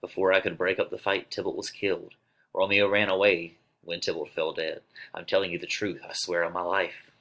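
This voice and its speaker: A man acts out an earnest young nobleman in a dramatic reading. His voice is deep yet sharp. He declaims sincerely, as a loyal friend would. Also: little pitch movement, a little fast, gravelly, rough recording.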